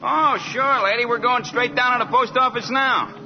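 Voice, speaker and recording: A man playing an excitable, slangy working-class postal worker in a 1940s radio comedy-drama. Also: very high voice, moderate pace. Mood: breezy. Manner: slangy, animated, wisecracking. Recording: noisy, dull 1940s radio broadcast.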